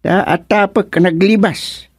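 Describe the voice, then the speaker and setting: A man's voice: high voice, fairly even intonation, measured pace, slightly rough, dry recording, clean studio sound, exaggerated voice. A man acting in a comedic radio drama as a pitying villager, with singsong exclamations. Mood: pitying, musing.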